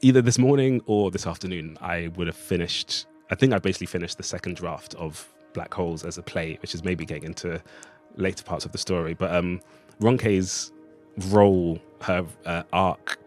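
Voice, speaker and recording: A man's voice: sits low, fairly even intonation, normal speed, darkish tone, soft background music. A man speaking as an interview guest, reflecting on his creative work in a hesitant, thoughtful, hedging way. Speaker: playwright and artist, interview guest.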